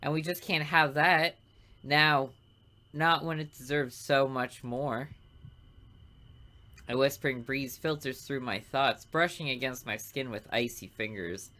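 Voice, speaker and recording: A man plays a smug killer who fancies himself an artist, narrating a visual novel. The delivery is deliberate and theatrical, savoring each morbid word in a bright, mid-pitched male voice. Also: steady tone.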